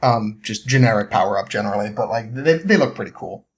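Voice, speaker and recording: A man telling a story casually as a podcast guest, halting and rambling as he goes, with an amused manner. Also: fairly low pitch, lively intonation, a little hiss or hum.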